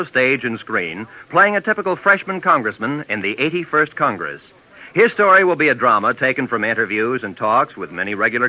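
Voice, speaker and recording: Male American network announcer, 1940s style: crisp emphatic delivery, animated pitch, hard bright tone, vintage radio sound. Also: low-quality recording. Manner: polished, emphatic, rhetorical. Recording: old 1940s radio recording.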